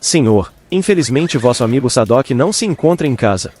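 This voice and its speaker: An actor playing a humble, anxious manservant, respectful and pleading, in a dramatized audiobook. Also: male voice, mid-range voice, lively intonation, fairly quick, darkish tone, soft background music, natural voice. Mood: discouraged. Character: Jacó, humble, anxious, deferential male servant.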